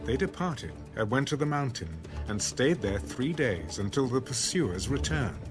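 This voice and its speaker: A man's voice: medium pitch, very animated, moderate pace, close to an everyday voice. A man narrating a dramatized Bible as a scripted storyteller. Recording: background music under the voice.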